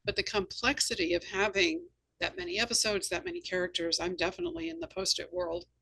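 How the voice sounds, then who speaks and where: A woman host and novelist talking conversationally about writing, with measured, articulate phrasing. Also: on the low side, gravelly, bright, forceful tone, breathy edge, rough recording. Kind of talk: conversational literary discussion.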